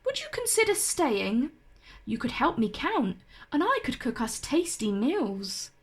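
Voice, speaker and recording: A woman voicing a lonely, gentle male giant in an earnest, emotive children's storybook style. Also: high-pitched, big pitch swings, a little slow, husky, full character voice. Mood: lonely, hopeful.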